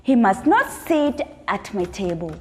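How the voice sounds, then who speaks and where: A woman storyteller voicing a stern, commanding king who speaks in a measured, imperious way. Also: medium pitch, sing-song, a little mellow. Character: stern commanding male king, teasing and angry. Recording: long hall reverb, music behind.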